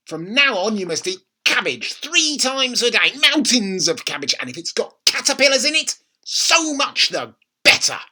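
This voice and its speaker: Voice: medium pitch, big pitch swings, pushed and bright, pitched above the performer's own voice, exaggerated voice. A reader voicing a nasty, witchy old grandmother in a storybook, bossy and gloating, with a throaty voice and menacing emphasis. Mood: snappish, bossy. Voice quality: throaty, raspy, whispery at times. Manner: snapping, slow menacing emphasis.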